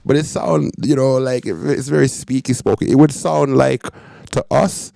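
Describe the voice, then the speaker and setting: A man's voice: mid-range voice, steady tone, moderate pace, gravelly, mellow, no echo. A man born in Jamaica and raised in Canada, hosting a reaction show in emphatic commentary, repeating phrases for stress, with a Canadian accent with Jamaican touches. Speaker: host.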